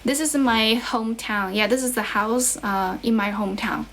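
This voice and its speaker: A woman's voice: a little high, moderate pace, a little hiss or hum. A young woman from China who speaks English with a Chinese accent tinged with American, chatting casually and encouragingly to viewers as an English teacher on a livestream, often repeating phrases. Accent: Chinese, with American influence. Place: relative's house at home.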